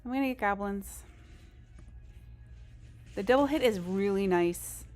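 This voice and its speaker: A woman's voice: medium pitch, a little slow, darkish tone, faint music behind. A woman live streamer chatting casually over a game, in a rambling, informal and self-deprecating way. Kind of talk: casual gaming stream chat.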